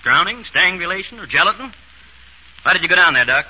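Suspicious police inspector, higher-set voice, rapid, slangy, sarcastic, 1930s radio drama, muffled old recording. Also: male voice, expressive, breathy edge. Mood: sardonic, suspicious. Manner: blunt, wisecracking, colloquial interrogation.

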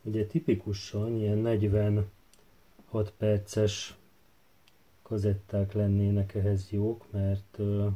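A man narrating a hands-on tutorial casually, hesitant and halting as he thinks aloud while working. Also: on the low side, monotone, warm tone. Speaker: DIY tutorial host. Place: indoor workbench. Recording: faint background noise with handling clicks.

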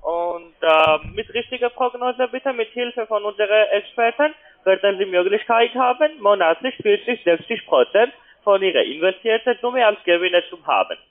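A man with a foreign accent in German giving a hesitant, polite sales pitch as a call centre agent, often ending on little questions. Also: animated, normal speed. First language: not German. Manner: hesitant, polite, repeatedly tags sentences with questions. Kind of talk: halting phone sales pitch. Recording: muffled, narrow telephone line.

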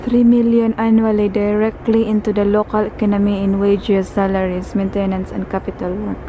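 A woman's voice: mid-range voice, completely flat delivery, a little fast, phone-quality sound. A woman with a Filipino accent vlogging casually outdoors, reading signs aloud, exclaiming and mixing in Cebuano. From Philippines. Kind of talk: casual chat. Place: outdoors at an industrial harbour lookout. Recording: outdoor phone recording with noise.

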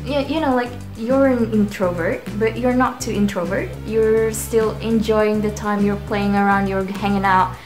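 A young Indonesian woman hosting her own vlog from a small bedroom, chatting to the camera in a rambling, self-deprecating way. Her voice rings in a roomy, echoing space. Clear background music plays under her talk. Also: mid-range voice. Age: young adult. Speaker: channel host. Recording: roomy echo with music behind.

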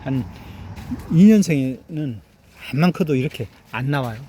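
A man's voice: medium pitch, lively intonation, moderate pace, dark tone, slight background noise. A middle-aged man, a grape farmer, proudly shows his harvest in a vineyard and keeps urging the viewer to look. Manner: proud, boastful, repeats "look here" and asks "eh?". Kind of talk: casual vlog walkthrough. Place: outdoor vineyard.

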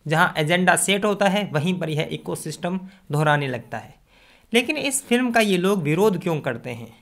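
A man's voice: fairly high pitch, a bit bright. A man delivers scripted, argumentative opinion commentary to camera, speaking emphatically into a close desk microphone. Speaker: video commentator. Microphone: desk condenser microphone, close.

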